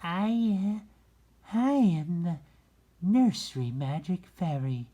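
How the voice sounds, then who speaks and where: A storybook reader voicing a kindly, gentle nursery magic fairy in a high, breathy voice with slow, drawn-out, emphatic words. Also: very animated. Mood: grand, gentle.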